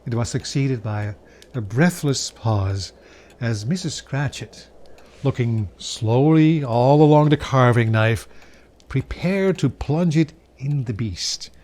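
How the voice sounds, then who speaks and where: A man giving a dramatic storybook reading of a Victorian Christmas tale, savoring the words with expressive pauses. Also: medium pitch. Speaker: storyteller reading a classic novel aloud.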